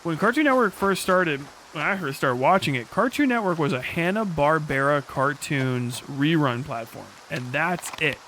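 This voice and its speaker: A man's voice: a little high, animated, normal speed, few pauses, pushed and bright, a little hiss or hum, rough recording. A man chatting informally as a livestream host, sweary and talking straight to his viewers. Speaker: livestream host reacting to videos. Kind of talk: casual chat commentary.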